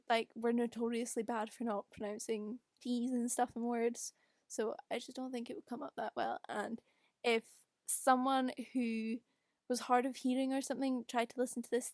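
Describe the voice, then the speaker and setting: Young scottish girl chatting away off the cuff, highish voice bit of fry, really dry close sound. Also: no echo. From Scotland. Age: young adult. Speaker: video creator doing a voice-over. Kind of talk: casual unscripted rambling chat. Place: quiet room at home.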